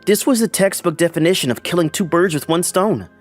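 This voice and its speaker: A man's voice: high-pitched, lively intonation, brisk, soft background music. A man playing a polite, earnest young businessman who narrates his own story in a manga dub. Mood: calm narration.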